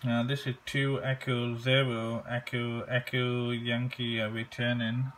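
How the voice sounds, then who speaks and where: A man making an amateur radio contact, courteous and halting, often repeating his phrases. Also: medium pitch, monotone, a bit bright, slight background noise. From England.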